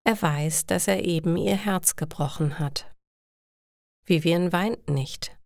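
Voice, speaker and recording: Slow, lower-pitched woman reading a story. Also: creaky, darkish tone, no echo, clean studio sound. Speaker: storyteller for German learners. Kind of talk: slow scripted story narration for language learners.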